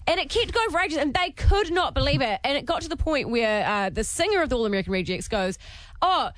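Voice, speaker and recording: A woman with a New Zealand accent telling a story in lively style as a radio host. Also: high-pitched, fast, no echo. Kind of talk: casual radio chat.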